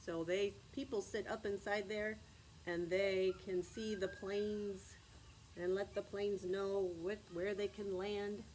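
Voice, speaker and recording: A woman's voice: medium pitch, little pitch movement, takes it slowly, darkish tone, a little hiss or hum, low-quality recording. An American woman, a preschool teacher from Texas, reads aloud to young children in simple phrases, stopping to explain and ask questions. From Texas, United States.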